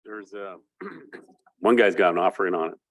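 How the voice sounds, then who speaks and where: Low-voiced guy running a council meeting, loose, halting talk. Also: steady tone. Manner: informal, halting.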